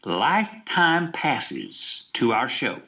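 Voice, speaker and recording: A man's voice: medium pitch, wildly swooping pitch, pushed and bright, heavily acted. A man playing an earnest, salesy small-time magician on a comic prank call, rambling along in a folksy way. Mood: salesy, eager. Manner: folksy, rambling, halting storytelling. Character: earnest, salesy small-time stage magician boasting of his rabbit act. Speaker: prank caller, show host.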